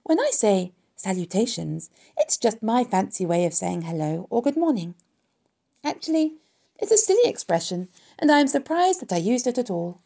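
A woman's voice: mid-range voice, big pitch swings, normal speed, creaky, mellow. Woman as a poised lady spider, lilting voice. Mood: gracious. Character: poised, kindly, self-assured female spider.

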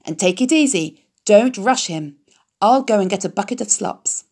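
A calm middle-aged farmer from a storybook reading, voiced lightly by a woman. He speaks in an easygoing, coaxing way, calling gently to an animal. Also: medium pitch, normal speed.

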